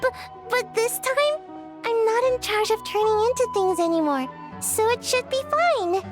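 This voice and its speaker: Very high, sheepish little shapeshifter child. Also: female voice, lively intonation, moderate pace. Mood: flustered. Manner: hesitant, defensive. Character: small, sheepish shapeshifting creature-child with a tail. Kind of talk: anime game voice acting. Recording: game audio with faint music.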